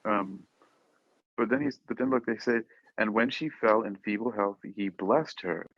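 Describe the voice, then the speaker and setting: A man's voice: fairly low pitch, normal speed, no echo. A man chatting casually as a podcast host about poetry, questioning things in a playful, mock-exasperated manner. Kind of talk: casual chat discussing and reading poetry. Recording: dull lo-fi sound.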